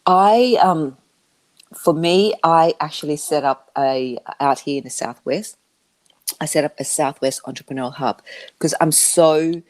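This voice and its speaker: A woman who learned English as a second language, answering interview questions about her business in a halting way, with many restarts. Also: sits low. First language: not English. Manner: halting, with frequent restarts and fillers. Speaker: interview guest, media business founder.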